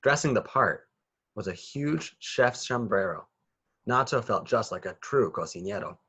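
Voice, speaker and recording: A man's voice: lowish voice, expressive, measured pace, a bit bright, slightly rough. A man reading a children's picture book aloud with rhythmic, sing-song phrasing. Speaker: children's story reader.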